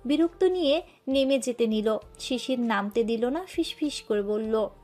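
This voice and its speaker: A woman storyteller narrating a scripted romance. Her voice is mellow and slightly high, and her reading is expressive and emotive. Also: a little slow, soft background music.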